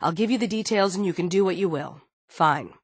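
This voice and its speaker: Actress doing a stern female android security chief for a game, lowish voice, guarded n businesslike, really dry sound. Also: a little fast, no room sound, natural voice. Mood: serious, businesslike. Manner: firm, businesslike, serious. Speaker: video game character. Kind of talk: scripted game dialogue.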